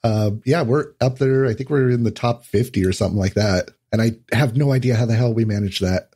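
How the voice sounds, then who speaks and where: A man chatting casually as a guest on a podcast, with a husky voice. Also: mid-range voice, brisk, mellow.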